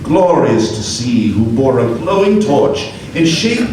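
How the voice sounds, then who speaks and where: A man narrating a classic ghost story aloud in a live radio play, with measured, vivid storytelling. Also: mid-range voice, sing-song, darkish tone. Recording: echoey hall, far mic, noise.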